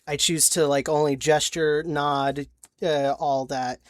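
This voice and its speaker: Slow, halting woman with a lowish voice. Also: fairly even intonation, no echo. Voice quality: halting, full of restarts and giggles. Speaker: player recounting a tabletop campaign. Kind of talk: casual rambling chat.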